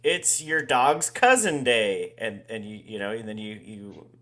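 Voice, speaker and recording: A man with a fairly low voice co-hosts a podcast in loose, casual chat. He rambles through restarts and drops a lot of swearing. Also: expressive, unhurried, bright, forceful tone. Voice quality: ginger-haired dog owner talk, married. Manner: rambling, profane, laughs often. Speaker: podcast co-host.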